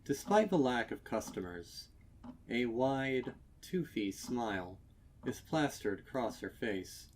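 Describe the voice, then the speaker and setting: Male narrator for dark fiction, lowish pitch, unhurried and deliberate, ambience faintly under the voice. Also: a little hiss or hum. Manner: measured, deliberate phrasing. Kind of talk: dramatic scripted story narration.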